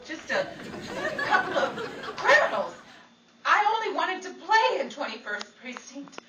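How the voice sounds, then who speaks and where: A woman's voice: very high voice, big pitch swings, unhurried, heavily acted. A woman playing a skittish, wisecracking Hollywood actress turned amateur sleuth in a 1940s-style radio comedy mystery, with playful, theatrical delivery. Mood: wry, exasperated. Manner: playful, theatrical quipping with gasps and exclamations. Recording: roomy, distant live recording, noisy crowd behind.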